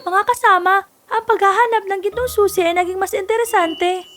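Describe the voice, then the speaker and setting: A woman voicing a bold, skeptical young heroine girl in a fairy-tale cartoon, with an animated delivery. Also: extremely high pitch, a little fast, a little hiss or hum. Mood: skeptical, curious.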